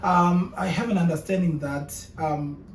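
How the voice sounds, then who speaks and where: A man's voice: fairly high pitch, fairly even intonation, measured pace, faint music behind. A young man from South Africa, a guest entrepreneur in a casual interview, speaking hesitantly and often repeating words as he thinks. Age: young adult. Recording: echoey room, distant mic.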